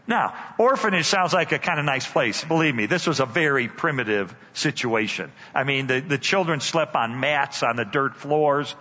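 An older man, a guest speaker, telling a story in a sermon with dramatic pauses and emphasis. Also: medium pitch, lively intonation, bright, forceful tone. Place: church sanctuary. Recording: dull church hall reverb.

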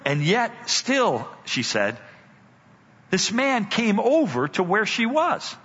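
An older man preaches in a church, building a story with dramatic slowdowns and emphatic pauses. His voice sits a little high and swings widely in pitch, bright with a husky edge. The sound is muffled and rings with long church reverb. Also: very animated. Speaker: guest speaker. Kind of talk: storytelling sermon. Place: church sanctuary. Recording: dull church hall reverb.

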